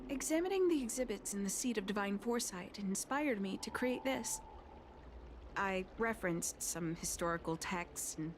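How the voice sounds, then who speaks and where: Courteous, measured actress as a woman alchemist, noisy background. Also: fairly high pitch, natural voice. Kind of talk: video game character dialogue.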